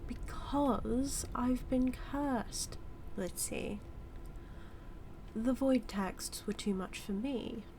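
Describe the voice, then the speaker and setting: Woman acting as a British villainess, smug, taunting, theatrical, slow drawling delivery, audio roleplay monologue, clear background ambience noise. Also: a little high, mellow. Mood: sardonic. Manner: mocking, theatrical, drawling with drawn-out words. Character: smug, teasing female supervillain toying with her hero nemesis.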